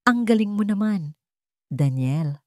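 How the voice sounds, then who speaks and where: A woman's voice: mid-range voice, animated, slow, dark tone, no room sound, studio quality. A woman storyteller voicing a cold, mocking, two-faced wife in an audio drama, sounding insincere and sneering. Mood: cold mockery.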